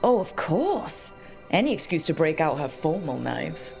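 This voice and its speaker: An actress playing a resolute, wry adventurer hero in a video game, speaking in a direct, conversational way. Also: female voice, sits low, sing-song, unhurried, creaky, a little mellow, heavily acted. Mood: wry resolve. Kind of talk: video game cutscene acting. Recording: music behind the voice.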